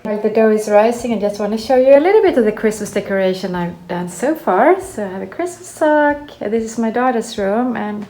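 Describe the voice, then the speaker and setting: A woman's voice: on the high side, animated, a little fast, hardly any pauses, warm tone. A woman from Greece who grew up in Sweden, chatting casually as she explains each step of her baking at home in her kitchen. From Greece, raised in Sweden. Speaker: home cooking vlogger. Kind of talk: casual cooking demonstration. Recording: echoey room with faint music.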